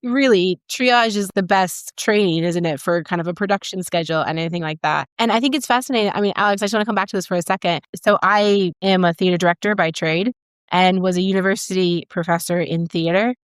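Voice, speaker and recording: Female podcast interviewer, enthusiastic and conversational, brisk pace, dry sound. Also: on the low side, clean tone, dry recording.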